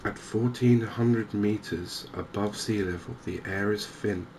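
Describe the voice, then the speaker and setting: A man with an English accent giving soft, gentle, unhurried cozy ASMR commentary on a video game as the channel host. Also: fairly low pitch, fairly even intonation, a little hiss or hum, poor audio. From England.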